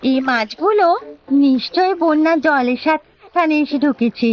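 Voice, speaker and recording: Lady doing caring cartoon village wife, really high voice, coaxing, slightly dull audio. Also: moderate pace, lightly acted. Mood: observant. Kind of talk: Bangla cartoon voice acting.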